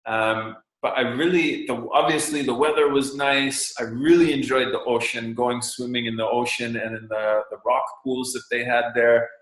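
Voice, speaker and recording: A man's voice: mid-range voice, steady tone, moderate pace, few pauses, a bit bright. Young Canadian man, interview guest, rambling and thoughtful with lots of ums, roomy echo on the voice. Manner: thoughtful, rambling with many fillers and restarts. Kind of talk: casual interview talk.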